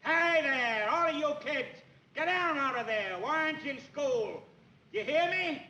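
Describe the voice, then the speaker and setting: A bossy, barking property owner. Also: male voice, very high voice, expressive, unhurried, bright, forceful tone, exaggerated voice. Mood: angry shouting. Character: gruff middle-aged male property owner, irritable. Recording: dull 1940s film soundtrack, faint hiss.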